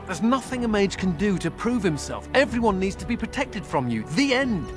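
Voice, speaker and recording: An actor playing a sardonic escaped mage in a video game, glib and self-amused with a bitter edge. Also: male voice, high-pitched, sing-song, a little fast, music in the background. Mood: bitter, indignant. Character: wry male mage and escaped prisoner, playful yet bitter. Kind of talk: video game character dialogue.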